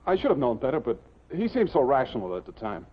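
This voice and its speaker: An anxious, apologetic guard in an old TV drama. He rushes his words in a mid-pitched, very animated voice, on a dull soundtrack. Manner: hurried, nervous, apologetic. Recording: dull, muffled 1950s television soundtrack.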